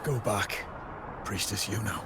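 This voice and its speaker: A man playing a solemn, resigned soldier in a video game, speaking gravely and formally. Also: lowish voice, measured pace, very noisy. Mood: urgent. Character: solemn, resigned male soldier of a doomed squad.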